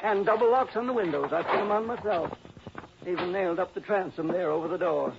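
A man's voice: sits high, fairly quick, gravelly. An actor playing a cantankerous, miserly and suspicious old rich man in a 1960s radio drama, blustering and irritable. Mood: crabby, insistent. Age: older. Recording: dull, noisy old radio recording.

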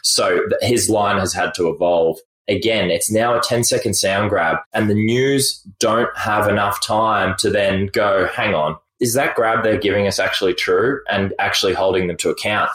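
Man, podcast host, very low voice, emphatic, roomy sound. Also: normal speed, few pauses, a bit bright. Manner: emphatic, indignant. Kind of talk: casual podcast chat.

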